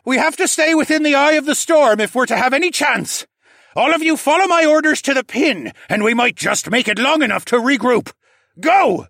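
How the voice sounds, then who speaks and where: A commanding raccoon pirate captain from an audiobook, acted out by a man. The voice is raised well up in pitch, theatrical and bold, and it delivers orders with bellowing emphasis in a very dry recording. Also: expressive, moderate pace, gravelly, sharp tone, no room sound, exaggerated voice. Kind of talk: audiobook character acting.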